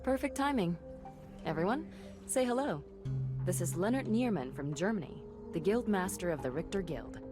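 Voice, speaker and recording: A woman's voice: on the low side, animated, moderate pace, music in the background, natural voice. A woman playing a confident, businesslike investigator in a video game, matter-of-fact and self-assured. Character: confident, businesslike female investigator with a dry edge. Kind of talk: scripted video game cutscene acting.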